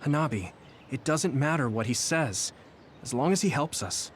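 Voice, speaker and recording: An actor playing a determined, sincere young hero in a video game, speaking in an earnest, steady way. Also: male voice, mid-range voice, normal speed, a little hiss or hum, lightly acted. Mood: solemn.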